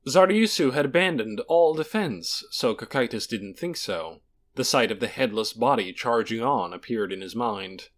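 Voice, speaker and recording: A man's voice: mid-range voice, expressive, moderate pace. A man narrating a fantasy audiobook in a dramatic, scripted storytelling style.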